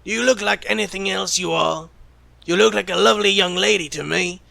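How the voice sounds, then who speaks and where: Casting: male actor as sardonic secret agent, high-pitched for a man, heavy vocal fry, flippant and teasing, audio roleplay. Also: brisk, sharp tone, no echo, exaggerated voice. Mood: taunting. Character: callous, sarcastic male secret agent who jokes about killing. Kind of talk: ASMR roleplay acting.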